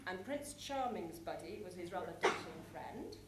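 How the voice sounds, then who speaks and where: A woman giving a prepared conference presentation, explaining things in measured phrases with deliberate pauses. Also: lowish voice, lively intonation. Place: large conference hall with an audience. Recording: echoey conference hall, clear background noise.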